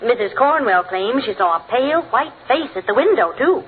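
A woman's voice: on the high side, lively intonation, fairly quick, close to an everyday voice. Woman playing a lilting radio housewife, tinny sound. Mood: mild, amused. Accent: American. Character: mild, sensible housewife and mother. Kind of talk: 1940s radio comedy acting. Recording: tinny, faintly noisy 1940s radio recording.

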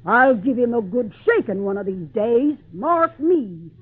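A woman's voice: a little high, expressive, measured pace, noisy background. Bossy, motherly circus fat lady. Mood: indignant. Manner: scolding, fussy. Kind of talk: old-time radio drama acting. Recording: tinny band-limited 1940s radio recording.

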